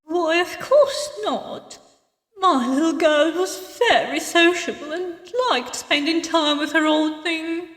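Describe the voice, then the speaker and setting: A woman voicing a tender, grieving old woman in a visual novel, speaking slowly and haltingly with wistful sorrow. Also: very high-pitched, a bit bright, pitched above the performer's own voice, heavily acted. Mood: grieving, doting. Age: older. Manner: slow, halting, sorrowful delivery. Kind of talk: visual novel character voice acting. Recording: echoey hall reverb, distant sound.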